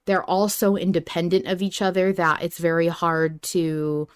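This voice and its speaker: A woman with a slightly low voice hosts a casual podcast chat. She talks informally and tends to ramble, working out her thoughts as she goes. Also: fairly even intonation, normal speed, smooth. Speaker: podcast host. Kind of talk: casual fan discussion chat.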